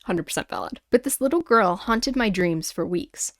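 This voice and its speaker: A woman hosting a paranormal story show, reading listener tales aloud and reacting with animated, rambling, exclamatory asides. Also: medium pitch, moderate pace, no room sound, studio quality. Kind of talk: chatty commentary and reading listener stories aloud.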